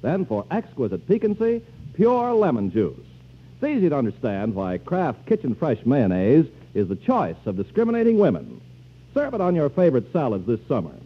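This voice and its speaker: A man's voice: mid-range voice, huge pitch swings, moderate pace, husky. A man announcing in the polished style of 1940s American radio. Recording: dull, muffled 1940s radio recording.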